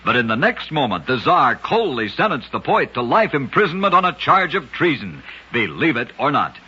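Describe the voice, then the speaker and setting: A man narrating strange true facts in a scripted old-time radio style, with a brisk, dramatic storytelling cadence. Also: mid-range voice, very animated, bright, forceful tone, breathy edge, highs cut off.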